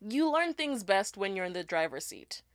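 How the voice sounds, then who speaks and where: A young woman co-hosting a casual podcast, animated and laughing often as she gives advice point by point. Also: mid-range voice, moderate pace, no room sound, clean studio sound. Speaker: podcast co-host. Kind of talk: casual chat.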